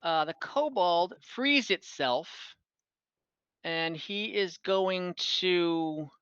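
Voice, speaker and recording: A game master runs an online tabletop session at a slow, hesitant pace, thinking aloud while improvising the action. The voice is bright and forward. The recording sounds slightly dull. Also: medium pitch, smooth. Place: room, online voice call. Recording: muffled, echoey room.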